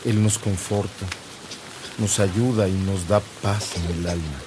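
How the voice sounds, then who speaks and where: Slow, deep-voiced Mexican bishop. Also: male voice, fairly even intonation, noisy background. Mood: earnest, compassionate. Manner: solemn, deliberate, pastoral. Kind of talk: Spanish-language radio drama acting.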